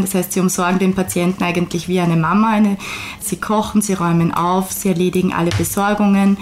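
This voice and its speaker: A woman whose first language is Hungarian, a guest briskly and fluently listing details of her agency's services. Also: mid-range voice. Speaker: guest, care agency co-owner.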